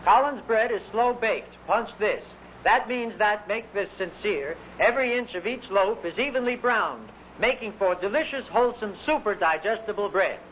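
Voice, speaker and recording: A man's voice: high voice, lively intonation, normal speed, hardly any pauses. A man working as a radio announcer, reading in a vintage broadcast style. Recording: dull, faintly noisy vintage radio recording.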